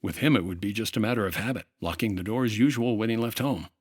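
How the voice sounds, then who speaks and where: An actor playing a sharp, wry insurance investigator in an old-time radio detective style, with a dry, analytical delivery. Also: male voice, on the low side, quick pace, slightly rough, no room sound. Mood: businesslike.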